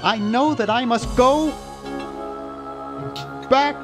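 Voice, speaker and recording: Nerdy young game hero, extremely high pitch, animated, earnest, fussy, music behind. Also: male voice, slow. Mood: earnest alarm. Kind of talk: cartoon adventure game acting.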